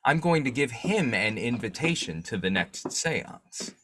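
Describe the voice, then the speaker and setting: A man playing a shrewd, determined newspaper boss and secret vigilante in a 1940s-style radio drama, musing deliberately. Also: medium pitch, very animated, noisy background. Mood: scheming, musing. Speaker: actor in an old-time radio drama revival.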